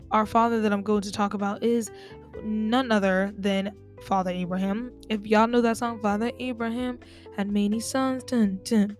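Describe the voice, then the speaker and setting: Chatty woman hosting a faith podcast. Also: on the high side. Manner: chatty, conversational, addresses listeners directly. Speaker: podcast host. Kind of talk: casual faith talk.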